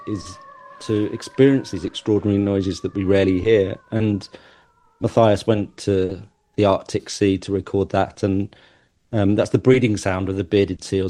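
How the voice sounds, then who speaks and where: Male interview guest, lowish voice, thoughtful, stops and restarts often. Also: mellow. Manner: hesitant, thoughtful, with many fillers and restarts. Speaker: musician and competition judge.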